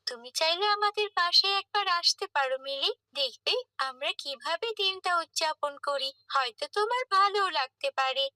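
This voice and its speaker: A woman as a very high, earnest little schoolgirl. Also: expressive, normal speed, hardly any pauses, bright, forceful tone, no room sound, exaggerated voice. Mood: patient, earnest. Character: gentle, pious young schoolgirl, patient and earnest. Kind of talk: scripted children's cartoon dialogue.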